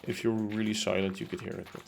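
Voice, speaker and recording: A man's voice: lowish voice, level tone, quick pace, gravelly, slight background noise. A young man streaming from his apartment as he builds in a game, chatting casually and rambling with lots of fillers and restarts. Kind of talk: casual chat while building. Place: home room in a concrete apartment.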